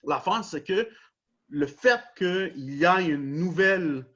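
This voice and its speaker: A man's voice: high-pitched, expressive, slow, fairly bright tone. A man with a Québécois French accent hosting a podcast, explaining at length and leaning on repeated stock phrases. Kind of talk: casual discussion. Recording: dull lo-fi recording.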